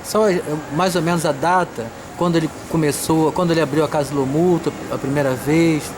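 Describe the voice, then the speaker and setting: Male interviewer, off-mic and distant, raised pitch; urban noise behind. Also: fairly even intonation, a little fast. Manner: prompting, lists follow-up points. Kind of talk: asking a question. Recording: distant voice over street noise.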